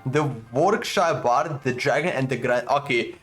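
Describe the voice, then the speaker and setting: A woman's voice: rumbling low, measured pace, faint music behind. A woman doing casual, offhand gameplay commentary as she reacts to the game. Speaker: game streamer.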